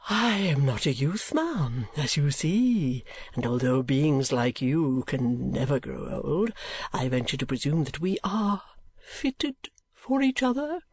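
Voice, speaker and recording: A woman voicing an eccentric, courtly old gentleman in a storybook, with pompous, grandiose phrasing. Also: low voice, expressive, slightly rough, dry recording, exaggerated voice. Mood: courtly wooing. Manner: florid, pompous, drawn-out phrasing.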